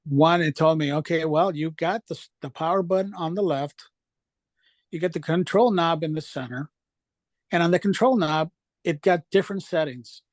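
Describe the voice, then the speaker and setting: Male, slightly high voice, dry sound, enthusiastic informal presenter sharing personal experience. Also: normal speed, dry recording. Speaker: speaker presenting travel apps. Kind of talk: informal discussion at an online event.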